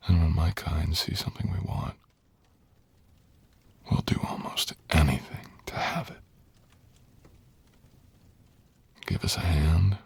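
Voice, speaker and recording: A man acts out a brooding dragon lord in an intimate fantasy audio roleplay. His voice is extremely low and full of gravel, and he speaks slowly and deliberately. He sounds tender and seductive, with formal, storybook phrasing. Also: dry recording, full character voice. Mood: seductive, menacing. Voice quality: very deep, gravelly, husky, often breathy.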